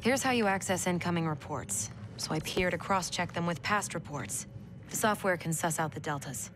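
An actress playing a capable, frank young military commander, businesslike and natural, in a video game. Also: female voice, lowish voice, moderate pace, noisy background, close to an everyday voice.

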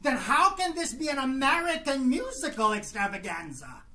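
Actress as a brash heckler: high, bright, lively, with folksy bluntness. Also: female voice, normal speed, a little hiss or hum, exaggerated voice. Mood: skeptical. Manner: heckling, folksy ungrammatical retorts. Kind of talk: live staged musical reading.

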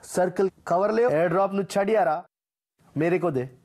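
Husky male gamer for short, punchy voice-pack catchphrases, pitched fairly high and very animated. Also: unhurried. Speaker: gaming creator voicing a game voice pack. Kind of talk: short scripted gaming callouts and catchphrases.

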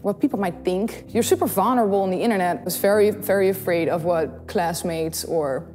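A woman's voice: lowish voice, a little fast, long reverb tail, faint music behind. A young woman with a Dutch accent, chatting casually to camera in a small studio, bubbly and giggly as she tells stories. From Netherlands. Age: young adult. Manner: bubbly, giggly, animated storytelling. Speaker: YouTube singer and host. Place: small studio in front of a blue backdrop. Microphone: studio condenser mic on the desk.

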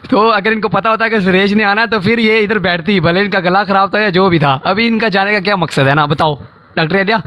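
A man's voice: high-pitched, a little fast, pushed and bright. A young man on a headset hosting a casual live stream video call, thanking and urging viewers to follow. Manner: pleading with viewers, repeating thanks. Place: indoor room, video call.

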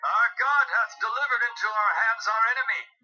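An actor playing a loud, exultant, gloating ancient reveller in a dramatized audio Bible. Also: male voice, very high voice, moderate pace, full character voice. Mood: gloating triumph. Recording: dull lo-fi audio with music.

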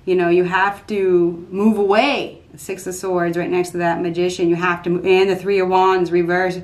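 A woman in her fifties giving an unscripted tarot reading at a desk in a small dark room, repeating herself emphatically as she thinks aloud. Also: fairly low pitch, little pitch movement, moderate pace. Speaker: tarot reader.

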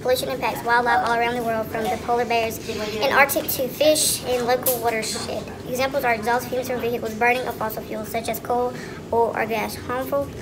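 A teenage girl reading a school presentation off a paper in a rushed, stumbling way, recorded in a classroom. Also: on the high side, fairly even intonation, a crowd in the background. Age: teens. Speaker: student presenter.

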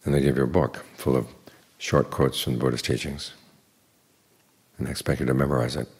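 An older man, a Buddhist monk, giving an evening dharma talk in an unhurried, plain teaching manner with dry humor. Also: little pitch movement, darkish tone. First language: English. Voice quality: very deep gravelly voice.

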